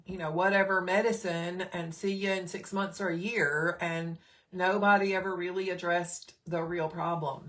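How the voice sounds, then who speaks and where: A woman's voice: lowish voice, a bit bright. A middle-aged woman, a nurse, hosting a casual live stream with a slightly scratchy throat, chatting with many fillers and greeting viewers by name.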